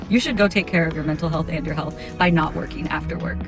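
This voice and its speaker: A woman's voice: low-pitched, steady tone, fast, over music. Firm, opinionated woman in her thirties. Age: 30s. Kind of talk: opinionated vlog monologue.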